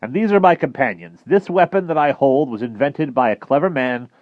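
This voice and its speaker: A man voicing a crabby elderly professor who talks in a gruff, growling way. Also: medium pitch, lively intonation, a little fast, dry recording, phone-quality sound, pitched above the performer's own voice, natural voice. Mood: courtly.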